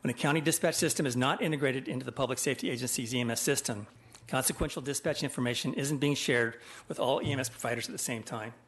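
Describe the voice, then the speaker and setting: A man reading prepared testimony to a legislative committee in a measured, formal manner. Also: mid-range voice, a bit bright. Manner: measured, formal, abstract wording.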